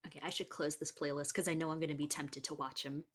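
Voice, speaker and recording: A woman's voice: deep voice, little pitch movement, fast talker. A young woman chatting casually and upbeat as a guest on a podcast. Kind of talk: casual chat.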